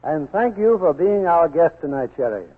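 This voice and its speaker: A man's voice: fairly high pitch, expressive, moderate pace, breathy edge. An American man hosting a 1940s radio show, announcing from a script in a polished, theatrical way. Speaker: host and announcer. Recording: muffled 1940s radio broadcast with music.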